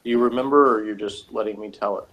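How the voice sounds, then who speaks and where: A man co-hosting a casual podcast chat about a TV episode, animated and jokey, often laughing and acting out quotes. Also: fairly low pitch, fast talker, highs cut off. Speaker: podcast co-host.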